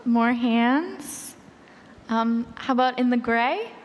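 A woman with a somewhat high voice moderates a live panel. She speaks to the room, and the hall gives her voice a long reverberant tail. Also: expressive, slow pace, a little hiss or hum.

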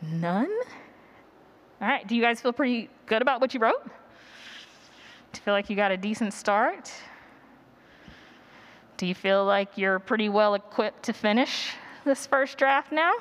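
A woman's voice: mid-range voice, expressive, a little slow, long pauses. Woman presenting an informal workshop. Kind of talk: informal workshop teaching with audience questions. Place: conference session room with an audience.

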